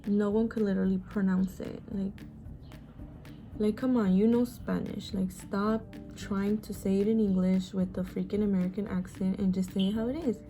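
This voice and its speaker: A woman's voice: mid-range voice, fairly even intonation, moderate pace, mellow, soft background music, rough recording. A woman in her twenties, born in Mexico and raised in the US, chatting frankly and casually while doing her makeup, swearing freely and sighing often. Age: 20s. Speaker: beauty vlogger. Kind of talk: casual get-ready-with-me chat.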